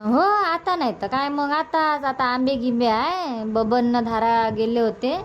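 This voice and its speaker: A woman's voice: sits high, unhurried, lo-fi sound, slight background noise. A woman voicing a homely, hospitable middle-aged village mother in a cartoon comedy, coaxing in colloquial Varhadi Marathi.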